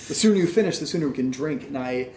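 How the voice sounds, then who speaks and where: A man's voice: medium pitch, lively intonation, racing, a little mellow, slight background noise, lightly acted. A man acting an anxious, mopey, sardonic young man in a naturalistic audio drama, speaking hesitantly. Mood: uneasy.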